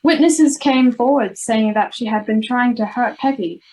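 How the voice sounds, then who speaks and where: A woman's voice: on the high side, normal speed, a little mellow, natural voice, poor audio. An Australian girl of ten or eleven playing an earnest, urgent schoolgirl adventurer in an amateur radio play. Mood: alarmed. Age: child, 10 to 11. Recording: home video-call recording.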